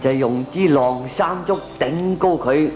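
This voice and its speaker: A man's voice: on the high side, a little slow, a little mellow, lightly acted. An actor playing a scheming, gleeful male neighbour in a comic radio drama, with a Hong Kong Cantonese accent. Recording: echoey radio play with music behind.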